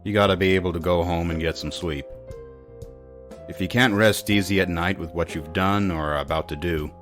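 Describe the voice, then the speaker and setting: A hardboiled detective haunted by war, with a very low voice. He speaks gravely, in the brooding way of a noir radio play, over a music bed. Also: steady tone, moderate pace, fairly bright tone. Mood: brooding, weary. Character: brooding war-veteran police detective, male noir narrator. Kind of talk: noir audio drama narration and dialogue.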